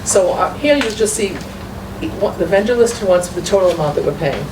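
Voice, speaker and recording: A woman's voice: medium pitch, animated, fairly quick. Woman explaining documents in an echoey room. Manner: explanatory, walking through documents. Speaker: school business manager. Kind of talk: meeting report. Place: school committee meeting room. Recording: echoey far-off room mic with noise.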